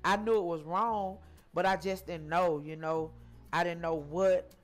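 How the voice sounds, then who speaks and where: A woman, from Georgia, telling her life story in an interview, halting and repeating herself with frequent ums. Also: on the low side, steady tone. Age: 40s. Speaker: interview guest.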